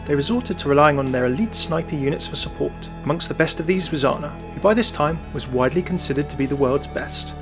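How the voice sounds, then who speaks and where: Guy reading a scripted voiceover, voice a bit on the high side, faint music behind. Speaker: video narrator and series host. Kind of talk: scripted narration.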